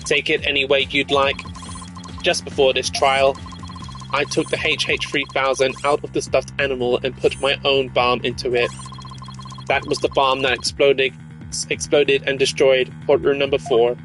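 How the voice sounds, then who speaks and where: A man voicing a sinister bomb technician in a video game, deliberate, smug and threatening, with music behind him. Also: mid-range voice, flat delivery, fairly bright tone, close to an everyday voice, low-quality recording. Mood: smug menace.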